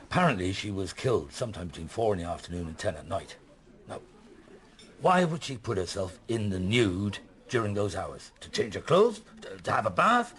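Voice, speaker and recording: A man's voice: on the low side, big pitch swings, moderate pace, a bit bright. A man acting in a radio play as a middle-aged, curious police inspector on holiday, with a hesitant, musing manner. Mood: musing, dry. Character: retired-seeming police inspector on holiday, mulling, curious, husband.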